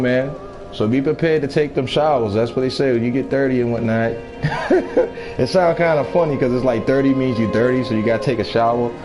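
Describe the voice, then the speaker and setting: A man in his thirties chatting casually with viewers while gaming, laid-back, talkative and quick to laugh. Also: mid-range voice. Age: 30s. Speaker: gaming streamer. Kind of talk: casual live-stream chat while gaming.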